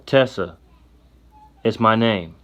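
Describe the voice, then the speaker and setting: A weary, soft-spoken woman in her thirties, as a male storyteller reads her, low and plain. Her lines sit in the reader's own low voice with little change. It is a quiet storybook western figure. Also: slow. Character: weary freed slave woman, 32, quiet and steady.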